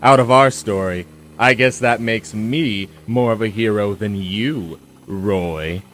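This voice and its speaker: A man's voice: on the low side, a bit bright, a little hiss or hum. An actor playing a grandiose, taunting male villain in an audio drama, drawing out his words with menace. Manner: theatrical, taunting, drawn-out delivery.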